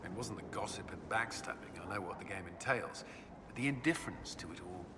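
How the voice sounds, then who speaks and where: An actor playing an earnest, soft-spoken military commander with a halting manner, in dramatic video game dialogue. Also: male voice, sits low, background noise, close to an everyday voice. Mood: tender, low. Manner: hesitant and halting in intimate talk.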